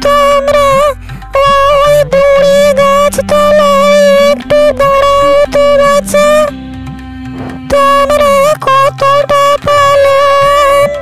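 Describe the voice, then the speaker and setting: A frail but crafty old village granny from a cartoon fairy tale. The voice is extremely high and very slow, doting and wary, with loud music behind it. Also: female voice, completely flat delivery, exaggerated voice. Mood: feigned frailty. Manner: slow, drawn-out, doting address. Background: loud background music.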